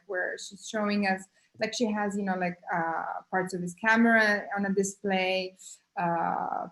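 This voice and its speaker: A woman artist telling a story in a casual conversation, halting often with fillers, over a video call. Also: medium pitch, poor audio. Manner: halting, many "you know, like" fillers. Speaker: guest artist.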